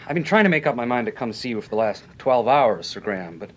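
An actor playing a brash, tough-talking nightclub owner in an old radio detective serial, blunt and slangy. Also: male voice, very animated, fast talker. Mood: uneasy, hedging. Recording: vintage radio recording with rumbling background noise.

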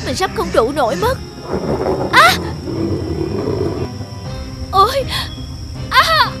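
A woman voicing an excitable, frightened young man in an animated folk tale, exclaiming urgently. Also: extremely high pitch, extremely slow, loud music behind the voice, full character voice. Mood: panicked. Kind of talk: animated cartoon dubbing.

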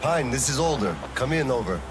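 An actor as a terse military father, expressive. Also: medium pitch, brisk, over music, lightly acted. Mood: businesslike. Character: gruff military colonel father, middle-aged, stern but loving. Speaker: father and colonel. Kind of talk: dramatic video game acting.

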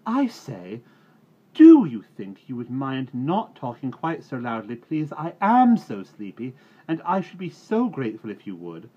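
A man's voice: medium pitch, huge pitch swings, measured pace, mellow, breathy edge, pitched above the performer's own voice, full character voice. A man voicing a drowsy, politely pleading young woman. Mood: sleepy. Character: sleepy, polite young well-bred woman. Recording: dull recording, faint hiss.

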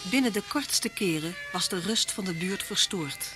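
An actress playing a thoughtful, earnest detective's wife in a vintage radio drama. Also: female voice, mid-range voice, lively intonation, normal speed, music in the background, lightly acted. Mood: narrating. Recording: slightly noisy old radio recording.

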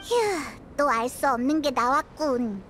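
A woman voicing a tiny, curious, plucky fairy girl in a game, with a cute, childlike, expressive delivery. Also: high voice, takes it slowly, slight background noise, full character voice. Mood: grumbling. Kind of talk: scripted game dialogue. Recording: loud music behind the voice.

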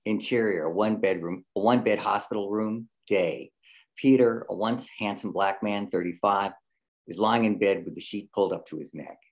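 Casting: male narrator with a very deep register for screenplay directions. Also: normal speed, low-quality recording. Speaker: reader of stage directions.

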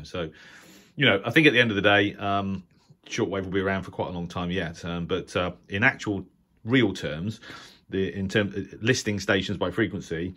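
A man's voice: low voice, fairly bright tone. A British man giving informal, unscripted commentary as a radio hobbyist, hesitant and rambling, often correcting himself. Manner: hesitant, halting, rambling delivery with self-corrections. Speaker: shortwave radio hobbyist presenter.

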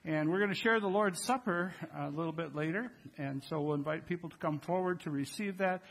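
A man's voice: on the high side, fairly quick, a bit bright, rough recording. An older man with an American accent speaking as a pastor, leading a church service and addressing the congregation. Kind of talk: church announcements and liturgy to a congregation. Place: large church sanctuary with congregation.

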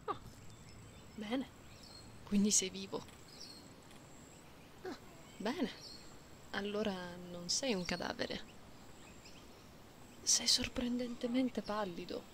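A woman voicing a playful, caring young catgirl in an intimate, soft ASMR roleplay. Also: mid-range voice. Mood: teasing, curious. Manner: soft, unhurried, intimate, sometimes whispery. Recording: binaural close mic, audible background noise.

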